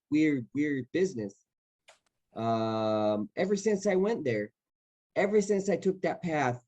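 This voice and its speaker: A man's voice: on the high side, mellow. Man answering, searching for words. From Israel. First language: Hebrew. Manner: searching for words, frequent restarts. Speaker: actor on a festival panel.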